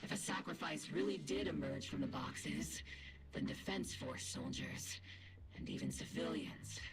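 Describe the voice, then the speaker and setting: An actor playing a bold, confident military squad captain with stern resolve in a video game, with loud music behind. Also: medium pitch, unhurried, natural voice, poor audio. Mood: stern, resolute. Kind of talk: dramatic video game dialogue.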